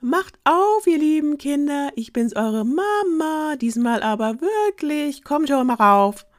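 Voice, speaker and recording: A woman doing a wolf faking a sweet high mama voice. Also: animated, slow, no room sound, studio quality. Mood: fake sweet. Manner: falsely sweet, coaxing, high. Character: male wolf faking a sweet mother goat voice.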